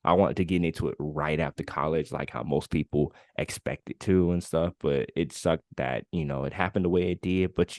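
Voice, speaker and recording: A man in his late twenties co-hosting a podcast, telling his story in a relaxed, candid way with lots of filler words. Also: very deep voice, creaky, dry recording, studio quality. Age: 20s. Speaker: podcast co-host. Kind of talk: casual conversational podcast chat.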